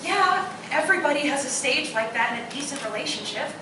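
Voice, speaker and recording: A very reverberant, noisy live recording carries a woman's higher-pitched voice. An actress plays a blunt skeptic who still believes in love, speaking in a stage radio drama style from some distance. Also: expressive, fairly quick. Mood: challenging, exasperated. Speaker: actor. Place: roomy live performance space, far from mic. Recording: very echoey, distant live recording, clear noise.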